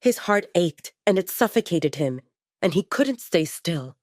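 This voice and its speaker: A woman's voice: on the low side, very animated, unhurried, no echo, studio quality. A woman reading scripted dramatic fiction as the narrator of an audio story.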